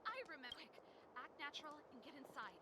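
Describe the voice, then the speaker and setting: A woman's voice: sits high, animated, racing, pushed and bright. A woman playing an easygoing, self-assured mechanic and former researcher in a video game, breezy and confiding, with theatrical delivery. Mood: playful, hushed. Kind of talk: scripted game dialogue. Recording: game music behind the voice.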